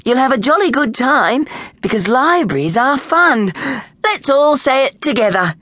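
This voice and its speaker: A woman voicing an excitable, gushing lady wolf librarian in a children's storybook. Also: sits high, a little slow, no echo, clean studio sound, pitched above the performer's own voice, full character voice. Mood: gushing, eager. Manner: gushing, giggly, emphatic.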